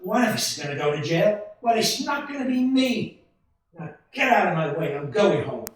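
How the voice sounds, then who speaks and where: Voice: medium pitch, sing-song, normal speed, natural voice. An actor playing a desperate, short-tempered family man in a 1940s-style radio play, recorded in a very echoey room. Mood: furious. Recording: very echoey room, distant from microphone.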